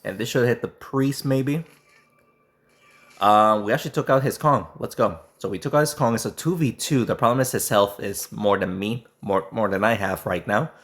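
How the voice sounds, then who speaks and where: A man with a hoarse voice, sick and nearly losing it, commenting casually on a mobile game match he is playing. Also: lowish voice, fairly bright tone. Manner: throat clearing, halting restarts. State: sick, voice strained and nearly lost. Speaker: gaming YouTuber.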